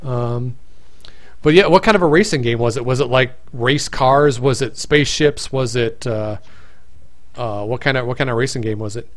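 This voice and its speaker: A man's voice: medium pitch, moderate pace, fairly bright tone. Guy hosting a stream, rambly and tired-voiced, lots of restarts. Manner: rambling, many restarts and fillers. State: voice getting tired. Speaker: stream host. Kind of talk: casual live-stream chat.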